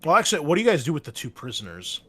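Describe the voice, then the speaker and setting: A man narrating as game master, relaxed and full of ums and uhs. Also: on the high side, racing, slightly rough, soft background music. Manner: relaxed narration with many fillers.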